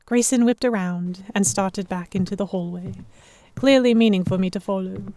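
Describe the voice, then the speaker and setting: Woman voicing young heroine narrating a pirate audio drama, soft dark tone, mid pitch, measured n observant. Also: no room sound, close to an everyday voice. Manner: measured, literary storytelling. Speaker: narrator and lead actor. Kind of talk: first-person scripted story narration.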